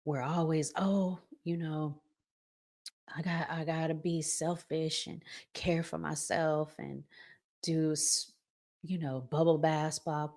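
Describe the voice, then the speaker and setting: A woman with a low voice speaks at a slow, deliberate pace, as a host giving advice straight to her audience. She is conversational but emphatic, repeating key phrases and posing rhetorical questions. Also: flat delivery, darkish tone. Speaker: relationship vlog host. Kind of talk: conversational relationship advice monologue.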